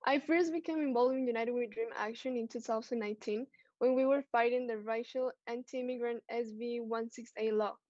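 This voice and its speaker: An organizer from Ecuador speaks, earnest and steady. Her voice sits a bit high. The lo-fi video call sound is dry and muffled. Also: a little fast, no room sound. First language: Spanish. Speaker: youth organizer. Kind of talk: live stream guest, bilingual. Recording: dull, lo-fi call audio.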